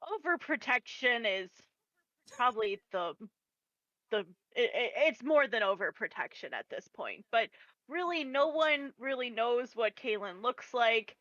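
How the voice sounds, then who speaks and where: A woman runs a tabletop game as its game master. Her voice is bright and lively, and it swings up and down as she giggles through loose narration full of restarts. The recording is dry and dull, like a cheap lo-fi mic. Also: on the high side, a little slow, no echo.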